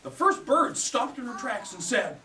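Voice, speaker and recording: A woman's voice: on the high side, very animated, normal speed, pushed and bright. A woman telling a story theatrically at a live children's puppet show, drawing out and repeating words for small listeners. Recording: echoey room, far from mic, audience noise. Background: audience of a live show.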